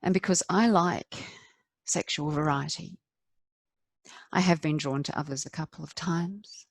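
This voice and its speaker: A woman performing a confessional stage monologue as a candid, rueful middle-aged wife, wry and self-deprecating, with deliberate emphatic pauses. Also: low voice, no room sound, studio quality. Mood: confiding, defensive.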